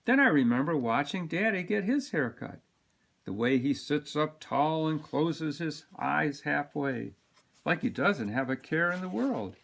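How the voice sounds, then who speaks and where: A man reads a picture book aloud to children. His voice sits a little high and swings widely in pitch, with dramatic emphasis on key words. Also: unhurried, creaky. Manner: animated, dramatic delivery with sound-word emphasis. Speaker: storybook reader.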